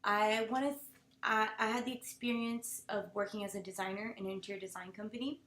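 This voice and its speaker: A young woman from Haiti giving a class presentation speech, earnest and halting, with restarts and fillers. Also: mid-range voice, steady tone, a bit bright. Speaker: student presenter. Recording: echoey room.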